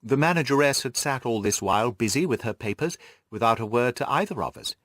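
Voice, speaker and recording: A man narrating a literary audiobook in an expressive, scripted reading style. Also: medium pitch, fairly quick, no room sound, studio quality.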